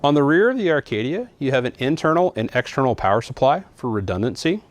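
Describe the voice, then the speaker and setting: A man's voice: mid-range voice, very animated, quick pace, soft background music. A man giving a scripted, methodical product walkthrough in an instructional way, as a trainer would.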